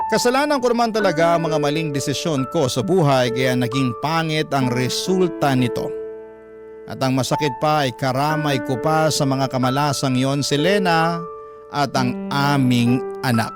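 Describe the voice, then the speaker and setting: A man's voice: fairly high pitch, moderate pace. A man with a Filipino accent reading a letter as dramatic scripted radio narration. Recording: music bed under the voice.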